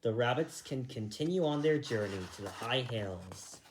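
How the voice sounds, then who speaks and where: A story reader narrating a storybook in an animated, deliberate way. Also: mid-range voice, slight background noise. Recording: roomy echo.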